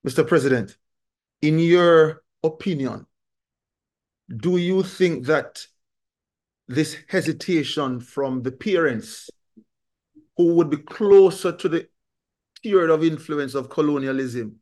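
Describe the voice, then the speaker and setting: A man's voice: on the high side, takes it slowly, long pauses, darkish tone. A man hosting a podcast, thanking a guest and putting a follow-up question politely and formally. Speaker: podcast host. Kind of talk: interview questions.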